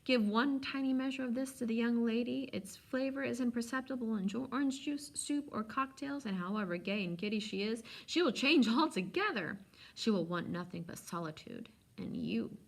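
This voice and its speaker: A woman's voice: fairly high pitch, lively intonation, moderate pace, gravelly, pitched above the performer's own voice. A woman voicing a polite, sly, coolly indifferent old man selling potions in a storybook reading, with precise, insinuating salesman's patter. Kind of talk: storybook read-aloud.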